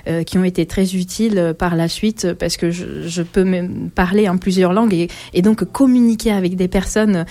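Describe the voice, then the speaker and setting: A woman in her forties speaks slowly and thoughtfully, pausing often between phrases. Her voice sits a little low and sounds mellow and warm. She is answering as a guest in an interview. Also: fairly even intonation. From Italy. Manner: halting, thoughtful, many pauses.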